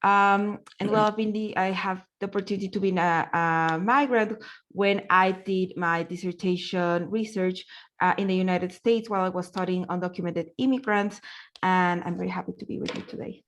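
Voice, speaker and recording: A woman from Mexico with a Mexican Spanish accent, a research professor introducing herself warmly with slight hesitation. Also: lowish voice, steady tone, moderate pace. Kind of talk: self-introduction on a webinar.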